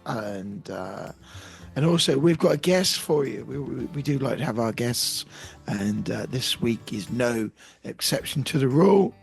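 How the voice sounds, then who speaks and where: A man co-hosting a podcast, hoarse from a cold, talking in a jokey, self-deprecating way with plenty of restarts. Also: mid-range voice, expressive, a little slow, faint music behind. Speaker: podcast co-host. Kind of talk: casual banter.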